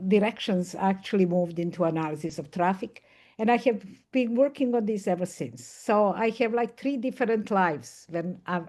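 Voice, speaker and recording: A woman's voice: mid-range voice, dark tone. An older woman from Serbia, a professor speaking as a panelist on a video call, explaining thoughtfully at length. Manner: thoughtful, explanatory, with occasional laughs. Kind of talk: online panel discussion.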